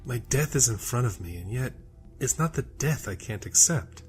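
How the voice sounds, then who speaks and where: A man's voice: mid-range voice, animated, normal speed, soft background music, natural voice. An actor playing a defiant, anguished male time traveller, with intense, dramatic delivery in an audio drama. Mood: anguished, urgent. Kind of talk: audio drama character dialogue.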